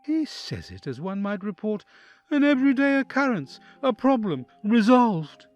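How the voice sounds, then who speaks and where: Man acting an animated, troubled envoy, dry recording. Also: mid-range voice, breathy edge, no room sound, full character voice. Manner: theatrical, intense, reflective. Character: troubled male envoy, sympathetic, fearful, inwardly agonised listener. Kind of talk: dramatic first-person interior monologue in audio drama.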